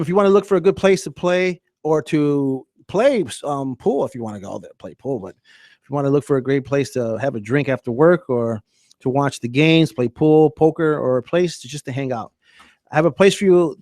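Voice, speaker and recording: A man's voice: on the high side, darkish tone, dry recording, studio quality. A man hosting a casual sports radio show, chatting loosely with fillers and reading ads live.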